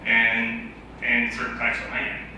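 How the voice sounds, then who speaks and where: A man's voice: mid-range voice, completely flat delivery, slow pace, pushed and bright. A man speaking on a housing panel, hesitant, with many fillers as he reasons through his points. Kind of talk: panel discussion. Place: event hall with audience. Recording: echoey hall, distant mic, background noise.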